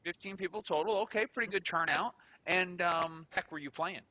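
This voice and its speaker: Upbeat man hosting, bright lo-fi sound. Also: high-pitched, normal speed. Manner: upbeat and joking, with many fillers. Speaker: show host interviewing a tournament winner. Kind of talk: casual interview. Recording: lo-fi recording.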